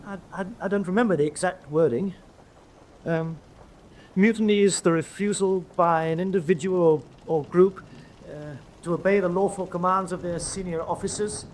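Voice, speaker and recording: Actor as a high-voiced, stammering junior officer. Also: male voice, moderate pace, darkish tone, a little hiss or hum, lightly acted. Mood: nervous, cowed. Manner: stammering, hesitant, defensive. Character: nervous, cowed young U-boat first officer. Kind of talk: radio drama courtroom acting.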